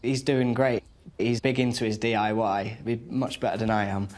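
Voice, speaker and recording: A man's voice: on the low side, level tone, normal speed, darkish tone. A man, a support assistant speaking informally in an interview about his work.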